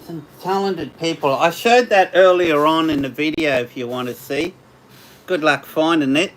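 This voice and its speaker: An Australian man hosting a casual livestream, chatting with viewers in a rambling way, his voice slightly hoarse after hours of talking. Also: on the high side, normal speed, slight background noise, rough recording. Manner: rambling, chatty, laughs often. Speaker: livestream host. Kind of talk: casual live chat with viewers.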